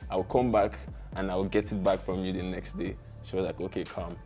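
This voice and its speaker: A man's voice: sits low, little pitch movement, normal speed, dark tone, soft background music. A young man with a Nigerian accent, telling stories casually and with informal slang as an interview guest. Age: young adult. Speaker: guest, tech founder. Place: small curtained indoor studio, seated.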